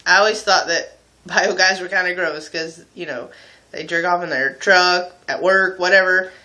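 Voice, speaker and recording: Bright-voiced young man chatting candidly. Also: high-pitched, fairly even intonation, moderate pace. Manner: candid, informal, self-mocking. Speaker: video blogger. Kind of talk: casual confessional chat to viewers.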